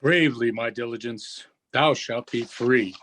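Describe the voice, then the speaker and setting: A man playing a dignified sorcerer duke in a Shakespeare reading, measured and formal. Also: mid-range voice, a bit bright. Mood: reassuring, commanding. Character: commanding, dignified sorcerer duke reciting verse. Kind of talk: unrehearsed Shakespeare play reading.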